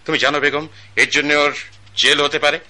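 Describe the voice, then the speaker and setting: A man's voice: fairly high pitch, level tone, moderate pace, bright, forceful tone. An actor playing a stern, self-righteous wealthy father, older in age, in a radio drama. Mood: scolding. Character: wealthy stern patriarch, pompous older man.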